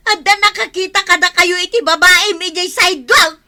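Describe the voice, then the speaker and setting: A woman playing an excitable, jealous village wife in a radio comedy drama, with an Ilocano accent and a dramatic, wailing manner. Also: very high-pitched, unhurried, a crowd in the background. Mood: furious, wailing.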